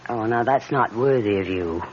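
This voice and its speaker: A woman's voice: very deep voice, moderate pace. An actress playing a playful, haughty young society woman in a radio drama, teasing and easily impatient. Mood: wistful, snappish. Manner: teasing, imperious. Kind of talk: dramatic radio play acting. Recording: muffled, noisy radio drama recording.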